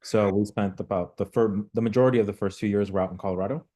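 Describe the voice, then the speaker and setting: A young man from Mexico answers interview questions, thoughtful and measured, pausing on small fillers. His voice sits low and deep, with a dark, mellow tone. He sounds like a local official telling his own story. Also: fairly even intonation. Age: young adult. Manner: measured, reflective, with filler words. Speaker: guest, local elected official.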